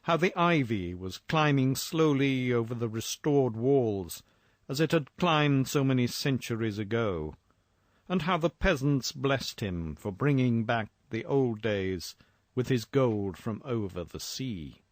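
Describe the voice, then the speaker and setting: A man reading a first-person horror story in a measured, formal, dramatic storytelling manner. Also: medium pitch, animated, no echo, studio quality.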